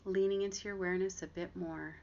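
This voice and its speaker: Female meditation guide, gentle and unhurried, fairly flat intonation with gravelly fry, muffled lo-fi recording. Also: on the low side. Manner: gentle, unhurried instruction with long pauses. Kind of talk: guided body scan meditation. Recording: dull lo-fi audio with clear background noise.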